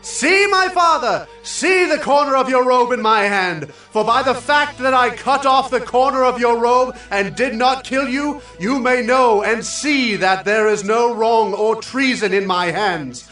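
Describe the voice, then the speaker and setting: A man acting an earnest, reverent young Israelite warrior in a dramatized Bible story, declaiming with drawn-out emphatic words. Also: extremely high pitch, pushed and bright, reverberant, distant mic, faint music behind, heavily acted. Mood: impassioned pleading.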